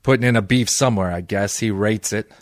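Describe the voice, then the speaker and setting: An actor playing a tough, cynical, wisecracking private detective in old-time radio style, deadpan and sardonic. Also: male voice, fairly low pitch, fairly quick, dry recording, clean studio sound, natural voice. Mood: defiant, sardonic. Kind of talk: old-time radio detective acting with first-person narration.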